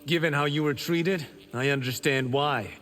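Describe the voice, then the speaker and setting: A man's voice: on the high side, normal speed, fairly bright tone, long reverb tail, a little hiss or hum, natural voice. An actor playing a cocky, witty young male monk fighter in a video game, wry and joking in manner. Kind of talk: video game character voice acting.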